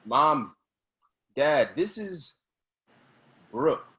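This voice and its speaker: A man's voice: a little high, big pitch swings, very slow, a bit bright, exaggerated voice. A man playing an exasperated, defensive college-age son in a play reading. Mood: flustered. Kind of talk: readers theatre play reading.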